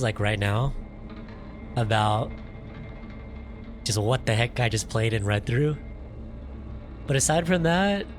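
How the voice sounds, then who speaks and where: A man giving casual video game playthrough commentary in a halting, rambling, puzzled way. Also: mid-range voice. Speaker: gaming video host. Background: game music.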